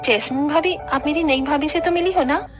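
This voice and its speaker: Woman as a spirited, high-voiced young sister-in-law. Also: brisk, music in the background, lightly acted. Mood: defending. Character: loyal, spirited young sister-in-law defending the new bride. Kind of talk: Hindi cartoon family drama.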